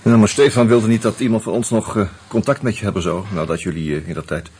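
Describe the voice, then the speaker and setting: An actor playing an uneasy murder suspect in a radio play, musing and hesitant, with a wry streak. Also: male voice, lowish voice, fast talker, darkish tone, slight background noise, close to an everyday voice. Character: uneasy male murder suspect narrating his own investigation. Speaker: actor and first-person narrator. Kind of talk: Dutch radio play, reflective narration and dialogue.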